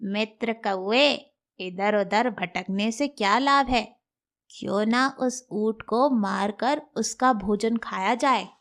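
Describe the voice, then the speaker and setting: A woman's voice: on the high side, slow, a bit bright. A woman voicing a scheming, deferential animal servant in a cartoon fable, obsequious and persuasive.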